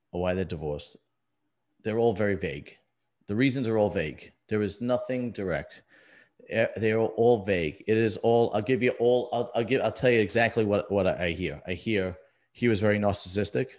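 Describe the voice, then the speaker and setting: Low-voiced blunt man. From US East Coast. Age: middle-aged. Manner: blunt, emphatic, repeats himself. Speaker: podcast host. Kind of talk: casual conversational podcast talk.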